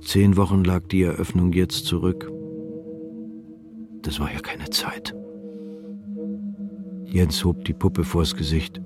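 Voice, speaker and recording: A man narrating a literary radio drama in a calm, measured, subdued reading. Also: sits low, music in the background.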